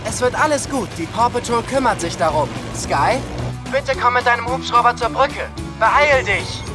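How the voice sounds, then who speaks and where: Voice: mid-range voice, animated, normal speed, music in the background, lightly acted. An actor playing a confident, kindly boy who leads a rescue team in a children's audio drama. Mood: reassuring, then urgent.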